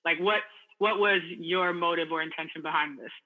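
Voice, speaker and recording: A man co-hosting a podcast, talking quickly and playfully in a casual chat. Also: fairly high pitch, fairly even intonation, phone-quality sound. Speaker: podcast co-host.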